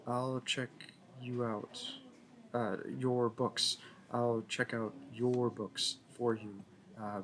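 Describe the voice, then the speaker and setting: Guy playing a nervous shy library boy in an audio roleplay, slow halting talk, stammers a lot, murmuring crowd in the back. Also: mid-range voice, lightly acted, low-quality recording. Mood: eager, awkward. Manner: stammering, hesitant, self-correcting. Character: shy, smitten young male library worker, nervous and awkward. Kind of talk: intimate roleplay audio acting.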